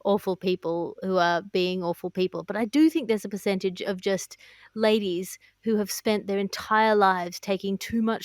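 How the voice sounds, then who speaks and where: A woman hosting a comedy news podcast, chatting casually and wrapping up with quick plugs. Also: mid-range voice, dry recording. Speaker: podcast host.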